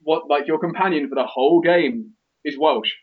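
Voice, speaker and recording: A man's voice: fairly high pitch, normal speed, breathy edge, phone-quality sound. A man talking casually as co-host in a podcast discussion.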